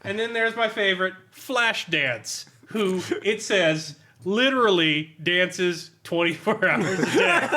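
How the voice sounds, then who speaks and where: A man reviewing a role-playing book casually among friends in an animated, amused, sweary and sardonic way. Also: sits high, slow pace, a little hiss or hum. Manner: animated, amused, often laughing while talking; profane, sardonic.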